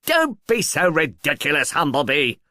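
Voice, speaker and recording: Man voicing testy literature professor, witty, brisk, emphatic, very animated pitch, dry storybook recording. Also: no room sound, full character voice. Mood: exasperated. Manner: brisk, exasperated, dryly emphatic. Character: Fen, irritable, witty male professor of English literature. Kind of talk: audiobook character dialogue.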